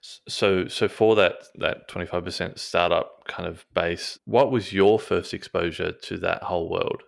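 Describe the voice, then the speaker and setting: A man's voice: low voice, fairly even intonation, moderate pace, creaky. A man interviewing a guest, a student who asks casual questions and stumbles over his words.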